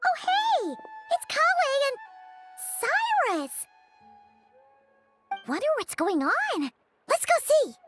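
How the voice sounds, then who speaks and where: Squeaky fairy companion, extremely high pitch, very bright, self-important, perky, video game cartoon style. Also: female voice, big pitch swings, slow, pauses often, soft background music, full character voice. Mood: boastful, excited. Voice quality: squeaky, childlike. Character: small childlike fairy companion, cheerful, boastful, refers to herself in third person. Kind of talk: animated video game character acting.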